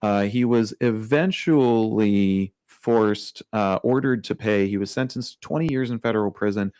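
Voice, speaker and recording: A man's voice: fairly low pitch, moderate pace, darkish tone, clean tone, dry recording. A man co-hosting a casual podcast, telling a story in a chatty, wry way, full of fillers. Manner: chatty, lots of like and you know, wry. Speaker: podcast co-host.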